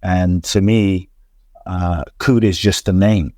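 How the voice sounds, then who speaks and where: A man with a lowish voice talks earnestly as an interview guest. His speech is reflective and full of restarts and repeated words. The sound is dry and close. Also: steady tone, slow pace, mellow, no room sound. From grew up in London. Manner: earnest, repetitive, frequent restarts and stammered repeats. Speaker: transformational teacher, podcast guest.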